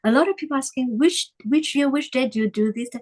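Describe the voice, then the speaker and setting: Hesitant higher-voiced woman guest. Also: animated, fairly quick. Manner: hesitant, searching for words, broken phrasing. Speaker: guest, tea leaf reader. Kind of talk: conversational interview.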